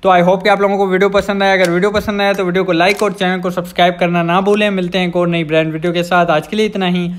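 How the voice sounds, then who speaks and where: Young male gaming host, Pakistani accent, high voice, casual explanatory voice-over. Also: flat delivery, fast talker, few pauses.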